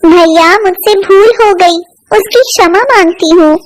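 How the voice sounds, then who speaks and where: Lady doing a meek young bahu from a cartoon folk tale, very high voice, slow and pleading. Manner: pleading, deferential.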